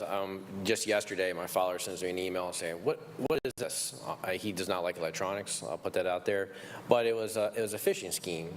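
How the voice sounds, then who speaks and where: Deep-voiced guy moderating a panel, chatty, faint hum in the room. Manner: conversational, chatty. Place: meeting room with an audience.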